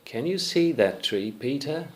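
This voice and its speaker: A man's voice: medium pitch, expressive, a little slow, lightly acted. A man voicing a kind, patient shepherd father encouraging his son in a simple storybook tale. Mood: instructing.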